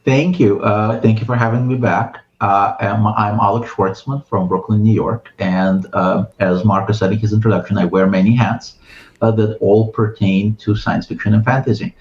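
A middle-aged man from Ukraine with a Russian accent, a guest on a video call, talking thoughtfully with many filler pauses. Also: lowish voice, steady tone, a little mellow, dull audio. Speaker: guest, writer and translator. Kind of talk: casual interview talk. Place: home room with bookshelves.

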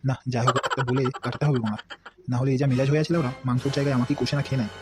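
A man's voice: medium pitch, fairly even intonation, very fast, over music, lightly acted. A man doing a swearing, hot-tempered cartoon dad. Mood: grumbling. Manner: crude, grumbling, shouts threats. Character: foul-mouthed hot-tempered middle-aged father. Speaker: voice actor. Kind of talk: comic cartoon voice acting. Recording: noisy homemade recording.

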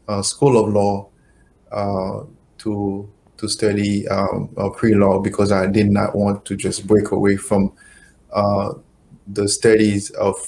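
Middle-aged man from haiti, lowish voice, thinks out loud slowly, video call audio. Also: vocal fry, slight background noise. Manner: slow, halting, many fillers. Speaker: guest, attorney. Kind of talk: reflective interview answers. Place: home office, indoors. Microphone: webcam video call.

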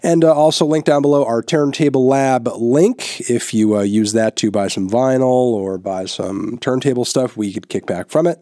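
A man's voice: mid-range voice, moderate pace, few pauses, no echo, clean studio sound. Stuffy-nosed man reviewing songs. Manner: relaxed, frequent filler words, blunt verdicts. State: stuffy nose, sick. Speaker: music reviewer host. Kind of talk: casual opinionated music review. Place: small indoor room, newly set up. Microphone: large studio microphone on boom in front of him.

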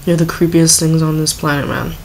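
A woman's voice: low-pitched, little pitch movement, fairly quick, a little hiss or hum, rough recording. A woman giving casual, offhand reaction commentary and reading text aloud as a video host.

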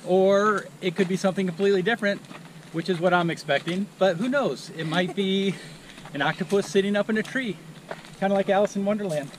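Man, 40s, family vlogger, relaxed and talkative, slightly high voice, handheld camera outdoors. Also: a little fast. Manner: easygoing, chatty, walking while talking. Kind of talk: casual vlog narration. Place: outdoors on a forest trail. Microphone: action camera held at arm's length. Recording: faint outdoor noise.

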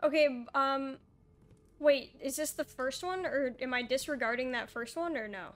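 A woman's voice: sits high, moderate pace. A young adult woman chatting casually as she plays a co-op puzzle game. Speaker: gaming video host and player. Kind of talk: casual chat during co-op puzzle gameplay. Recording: noticeable background noise and game ambience.

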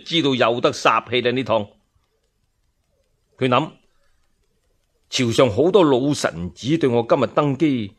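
A man's voice: medium pitch, a bit bright. A man with a Cantonese accent narrating historical tales in a dramatic, colloquial storyteller's manner.

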